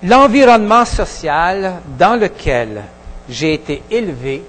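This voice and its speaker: A pastor with a Québécois French accent preaching a sermon in a halting way, with many pauses and restarts. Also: male voice, high voice, expressive, fairly bright tone, big-room sound, poor audio. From Quebec. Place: church hall.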